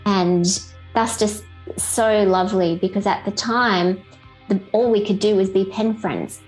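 A middle-aged woman with an Australian accent talks casually as an interview guest. She sounds upbeat and chatty, at an average female pitch, with faint music in the recording. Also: steady tone, measured pace, poor audio.

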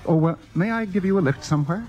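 An actor playing a pompous, needling theater sophisticate in a 1950s radio drama, urbane, deliberate and grandiloquent, with dry malice. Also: male voice, high-pitched, lively intonation, darkish tone, muffled recording. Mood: sly needling. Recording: old radio broadcast with faint music.

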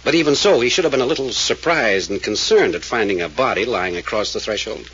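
A man's voice: medium pitch, lively intonation, brisk, fairly bright tone, breathy edge. A man playing a blunt, probing private detective in a 1940s radio drama, questioning insistently. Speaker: hired detective. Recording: dull lo-fi old radio recording with faint hiss.

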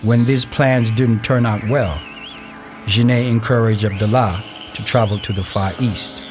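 A man reading scripted narration for an audio play in a measured storytelling way. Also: fairly low pitch, steady tone. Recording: music behind the voice. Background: music.

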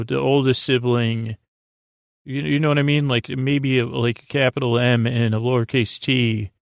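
Male podcast host, gravelly fry, close dry sound, improvised bedtime rambling, hesitant restarts, whimsical musing. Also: mid-range voice, no echo. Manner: hesitant, meandering, halting with repeated false starts.

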